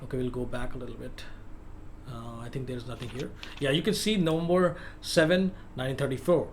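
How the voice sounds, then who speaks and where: A man's voice: medium pitch, fairly even intonation, a little fast, a little hiss or hum. A man giving a casual gaming walkthrough, explaining things step by step and repeating himself as he goes. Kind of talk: casual explanatory game commentary. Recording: loud game ambience behind voice. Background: loud game sound effects.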